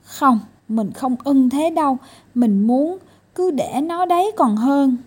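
A woman's voice: sits high, expressive, takes it slowly, voice pushed up. A woman voicing a whiny, stubborn little boy in a children's storybook, yelping and complaining about pain.

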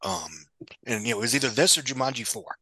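A man's voice: mid-range voice, quick pace, sharp tone, slightly rough, no room sound, poor audio. A man giving casual film commentary as a podcast guest, opinionated, articulate and apt to swear.

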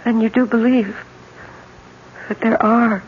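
An actress playing a frightened young newlywed wife in a radio drama, anxious and disbelieving. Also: female voice, on the high side, fairly even intonation, slow pace. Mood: fearful, disbelieving. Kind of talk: 1970s radio drama acting. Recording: dull, faintly noisy old radio recording.

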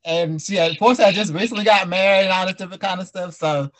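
A man's voice: high voice, fast talker. A man hosting a casual group interview in an informal, slangy way. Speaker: podcast host and audio drama creator.

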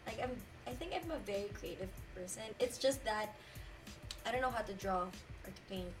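A woman's voice: medium pitch, lively intonation, normal speed, far from the mic, over music, rough recording. A nineteen-year-old woman from the Philippines, co-hosting a podcast in a peppy, giggly casual chat. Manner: peppy, giggly, upspeak-prone. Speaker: podcast co-host.

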